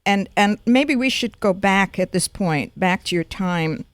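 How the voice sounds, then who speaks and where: A woman hosts a radio interview show, her voice smooth and fairly low. The sound is dry and close on a studio mic, and she phrases her questions deliberately, often going back over her words. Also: lively intonation, dry recording. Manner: deliberate, repeats words when asking.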